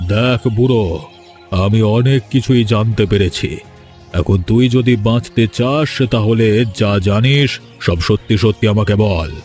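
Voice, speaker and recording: A man's voice: on the low side, a little slow, a little mellow, soft background music, lightly acted. A man voicing a grieving father and village master in a dramatic cartoon, repeating phrases with emphasis. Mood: resolved, stern.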